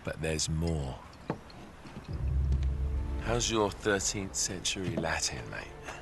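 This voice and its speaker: An actor playing a cocky, smooth-talking British thief in his thirties, breezy and persuasive, in a video game. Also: low voice, expressive, takes it slowly, exaggerated voice. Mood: wry. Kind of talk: cinematic video game acting. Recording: music under the dialogue. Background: background music.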